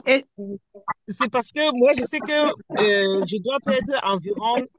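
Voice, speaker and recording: A woman's voice: fairly high pitch, slow. A woman politely and apologetically asking her coach a question on a group call. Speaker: program participant.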